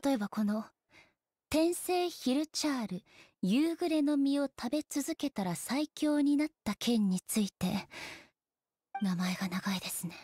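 A woman voicing a composed, dignified female ruler in a Japanese game, speaking formally and earnestly in measured, polite phrases. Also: high-pitched, expressive, mellow, no echo, clean studio sound. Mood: puzzled, earnest.

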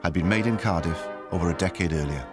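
A very deep-voiced man narrates a documentary with calm, measured pacing. Clear music sits under his voice throughout. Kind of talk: scripted narration.

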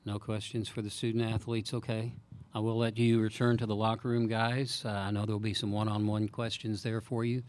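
A man's voice: fairly low pitch, flat delivery, brisk, darkish tone. A man moderating a press conference, politely steering questions.

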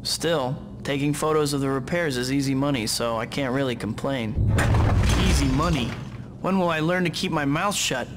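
An actor playing a cocky but good-hearted young superhero in a video game, with teasing, conversational quips. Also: male voice, medium pitch, moderate pace, heavy background noise, natural voice. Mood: wry. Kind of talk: video game hero acting.

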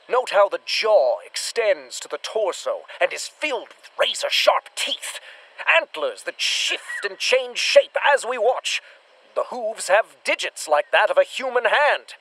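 An actor with an American accent playing a dim, swaggering, bombastic monster hunter in a retro radio serial. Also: male voice, high-pitched, fairly bright tone, dry recording, exaggerated voice, poor audio. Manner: bombastic, boastful, theatrical.

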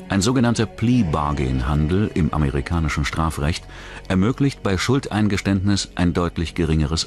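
A man narrating a scripted true-crime documentary in a measured, matter-of-fact manner. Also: low-pitched, darkish tone.

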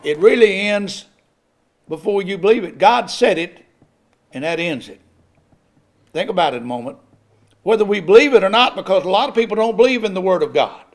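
High-voiced older male pastor preaching. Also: expressive, fairly quick, pauses often. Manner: preaching with rising emphasis and occasional chuckles. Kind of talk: sermon. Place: church sanctuary at a pulpit. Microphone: pulpit microphone.

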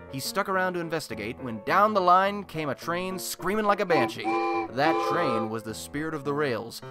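A man's voice: a little high, natural voice. A man playing a chatty, wry radio host in a superhero city, telling scripted comic stories in a colloquial, theatrical way for a radio play.

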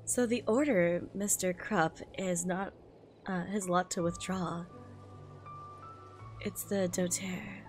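A woman streamer reading a manga aloud in an expressive, giggly way, with excited reactions in between. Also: lowish voice, slow pace, pauses often. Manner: expressive, giggly, switches into character voices. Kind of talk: live reaction read-aloud with excited asides. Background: music behind the voice.

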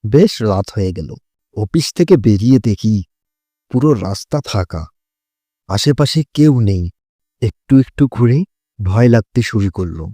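A man's voice: lowish voice, lively intonation, a little slow, pauses often, warm tone, dry recording, clean studio sound. A man narrating a scripted horror story in the first person.

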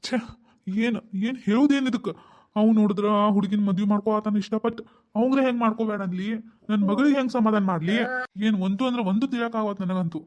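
A blunt, exasperated father, played by a man speaks in a high, quick voice with a North Karnataka Kannada accent. He fires rhetorical questions and scolds his wife without letting up in a family drama skit. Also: darkish tone, close to an everyday voice.

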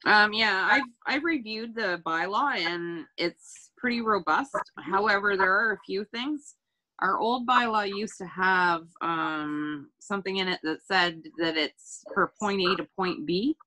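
A woman's voice: mid-range voice, measured pace, bright, forceful tone, rough recording. A woman town councillor making her case in a firm, methodical way on a video call. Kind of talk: informal meeting argument. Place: remote video call from a room.

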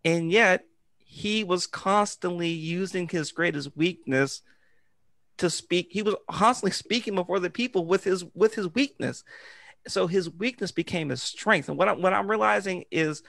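A man's voice: high-pitched, moderate pace, gravelly, dry recording. A middle-aged man, an educator co-hosting a podcast, telling an encouraging story in an earnest, conversational way from a small studio mic. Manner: earnest, encouraging, animated hand gestures. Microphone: studio mic on boom arm with headphones.

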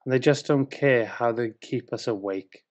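A man's voice: mid-range voice, normal speed, lightly acted. Grumpy, sceptical British husband acted by a man. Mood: sarcastic grumbling. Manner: grumbling, sarcastic, exasperated. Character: grumpy, sceptical, sleep-deprived husband in Bethlehem. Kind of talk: dramatic spoken-word verse reading.

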